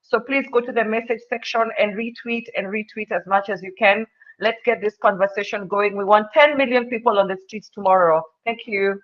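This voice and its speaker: A woman's voice: medium pitch, steady tone, a little fast. A woman urging listeners to spread the word before a protest, in an urgent, rallying way with many fillers. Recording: dull lo-fi line.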